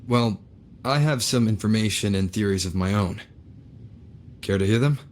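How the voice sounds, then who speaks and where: A man playing a calm, reserved, inquisitive young wanderer in a video game, speaking in a level, matter-of-fact way. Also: lowish voice, normal speed, a little hiss or hum, close to an everyday voice. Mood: calm, deliberate.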